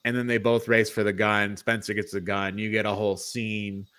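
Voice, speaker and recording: Low-voiced man in casual podcast chat. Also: flat delivery, moderate pace, a bit bright, clear, resonant tone. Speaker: podcast co-host. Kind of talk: casual film review chat.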